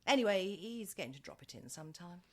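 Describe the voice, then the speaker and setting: A woman's voice: fairly low pitch, animated, fairly quick. A woman playing a wry, grandiloquent middle-aged dating agency owner in a radio comedy, with a British accent. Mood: brisk, exasperated. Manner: grandiloquent, sardonic. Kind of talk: radio comedy play acting.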